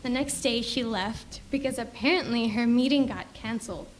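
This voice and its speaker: A woman's voice: a little high, normal speed, slight background noise. A young woman from Indonesia, sincerely telling her personal faith story to a church congregation. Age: young adult. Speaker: church member giving testimony. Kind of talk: personal testimony speech. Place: church service.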